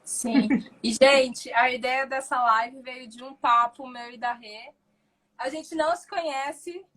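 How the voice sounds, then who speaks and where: Upbeat woman host with a high voice, casual live-stream talk. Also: fairly even intonation, takes it slowly, a bit bright, rough recording. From Brazil, Minas Gerais. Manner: friendly, upbeat, introduces topics. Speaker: host, entrepreneur. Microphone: video call.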